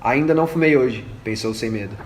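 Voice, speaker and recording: A man's voice: mid-range voice, fairly quick. A man reading scripted narration for an amateur radio drama. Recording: echoey room with faint noise.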